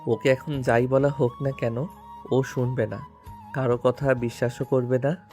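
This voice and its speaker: A man's voice: medium pitch, flat delivery, a little slow, darkish tone, natural voice. Actor as brooding young man over music. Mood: grave, resigned. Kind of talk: Bengali audio story dialogue. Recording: music bed under the voice.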